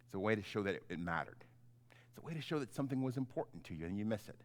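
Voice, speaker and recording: A middle-aged man, a pastor, giving an informal, halting children's message in simple, conversational words. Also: on the low side, expressive. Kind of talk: gentle children's sermon, informal storytelling.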